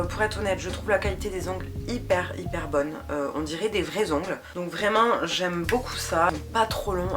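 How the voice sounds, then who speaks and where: A young woman chatting casually through a beauty product review, hesitant and full of fillers. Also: lowish voice. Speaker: beauty vlogger. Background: background music.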